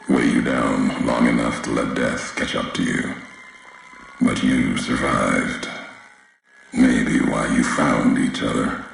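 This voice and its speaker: Very deep, gravelly brooding wanderer. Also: male voice, slight background noise, full character voice. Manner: slow, clipped fragments, ominous and deliberate. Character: grave, brooding male wasteland wanderer haunted by history. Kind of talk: video game character dialogue. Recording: heavy echo on the voice.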